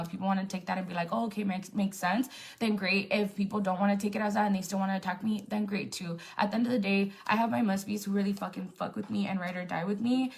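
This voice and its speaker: Young woman ranting on her live, gravelly fry, swears a lot, says like constantly. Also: medium pitch. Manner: blunt and defiant, swears a lot, many "like" fillers. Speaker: influencer on a livestream. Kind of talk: casual venting to viewers on a live stream.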